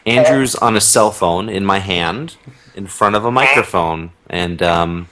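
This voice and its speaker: Low-voiced man chatting casually. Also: measured pace, fairly bright tone. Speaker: podcast guest, audio drama maker. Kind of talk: casual chat.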